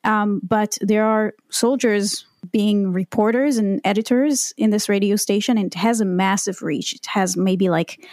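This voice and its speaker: A woman's voice: mid-range voice, a little mellow, no echo. Woman, dry close sound. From Israel. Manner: reflective and candid, with frequent ums and restarts. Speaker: podcast guest, copywriter. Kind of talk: conversational storytelling.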